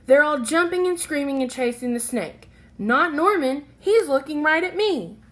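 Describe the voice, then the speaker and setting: A woman reading a children's picture book aloud in an expressive, deliberate, animated storytelling manner. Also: high voice.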